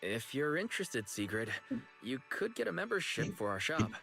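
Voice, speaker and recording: A man's voice: mid-range voice, very animated, normal speed, gravelly, fairly bright tone, faint music behind. A man voicing a friendly, modest young male character in an anime-style game.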